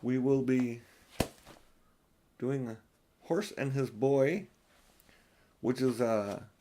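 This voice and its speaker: A man's voice: mid-range voice, fairly even intonation, drawn-out words, warm tone, slight background noise. An older man narrating a children's audiobook as its reader. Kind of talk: storybook narration.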